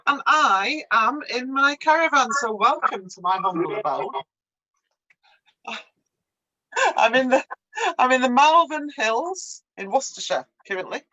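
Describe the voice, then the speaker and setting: A chatty, effusive woman in her sixties hosts a live cooking session over a video call. Her voice sits a little high and sounds dry and close, with no room around it. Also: lively intonation, a little slow, pauses often, bright, forceful tone, no echo, low-quality recording. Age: 60s. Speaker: host. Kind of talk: casual video call cookalong. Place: caravan on a campsite.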